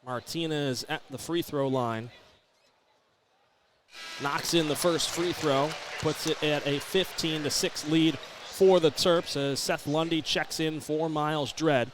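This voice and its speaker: American guy doing hoops play by play, pretty high voice, brisk, bit of gym noise in back. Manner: brisk, descriptive, rising to excited calls. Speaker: play-by-play sports announcer. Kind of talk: live basketball play-by-play commentary. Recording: live arena broadcast, background ambient noise. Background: basketball game ambience.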